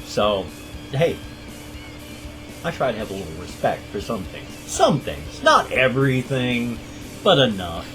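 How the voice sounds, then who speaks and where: A man's voice: medium pitch, big pitch swings, measured pace, long pauses, poor audio. A middle-aged man hosting his own channel, chatting casually to camera in a rambling, self-deprecating way. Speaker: channel host. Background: guitar music.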